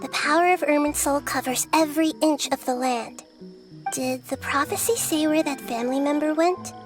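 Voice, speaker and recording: A woman voicing a gentle, youthful goddess of wisdom who reasons things through in a soft, thoughtful way. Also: high voice, moderate pace, natural voice. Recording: game audio with music. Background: game music.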